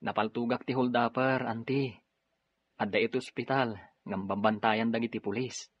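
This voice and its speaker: An actor plays a dutiful, reassuring young Ilocano policeman in a drama. His voice is husky and medium in pitch. The recording sounds slightly dull and lo-fi. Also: steady tone, moderate pace, no echo, natural voice. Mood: earnest. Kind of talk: Ilocano radio-style drama acting.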